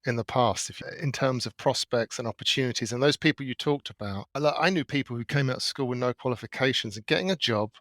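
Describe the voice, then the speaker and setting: A man speaking as a podcast guest, laying out his opinions at length in a measured way. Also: mid-range voice, fairly bright tone, no echo, studio quality. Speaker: guest artist. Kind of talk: casual podcast conversation.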